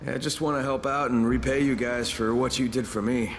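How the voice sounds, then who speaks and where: An actor playing a steady undercover agent among zombie survivors in a video game. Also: male voice, medium pitch, fairly quick, slight background noise, natural voice. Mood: earnest.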